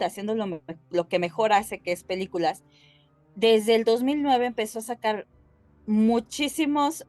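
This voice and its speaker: A woman with a Mexican Spanish accent co-hosting a podcast, explaining things casually and haltingly as she addresses her listeners. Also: a little high, a little mellow, dry recording. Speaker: podcast co-host.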